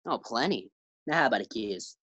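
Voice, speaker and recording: Casting: a woman doing an eager, bumbling young husband for a 1940s radio sitcom, very low, lively delivery, dry close sound. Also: fairly quick, slightly rough, dry recording, exaggerated voice. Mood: playful. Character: young husband George, eager bank clerk.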